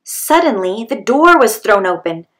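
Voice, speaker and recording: Slow-paced woman reading a storybook. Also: medium pitch, very animated, fairly bright tone. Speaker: storybook reader. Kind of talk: children's story read-aloud.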